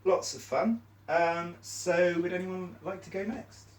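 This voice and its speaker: A man's voice: sits high, fairly even intonation, a little slow, a little hiss or hum. A man from Cornwall, leading a small training session in an upbeat, encouraging way. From Cornwall, England. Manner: upbeat and encouraging, frequent fillers. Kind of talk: friendly training-session facilitation. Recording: echoey room, mic at a distance.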